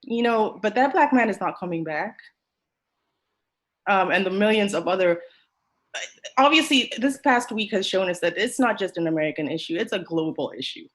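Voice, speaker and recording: A woman from Kenya, speaking emotionally and emphatically in a podcast discussion. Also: lowish voice. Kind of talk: earnest discussion.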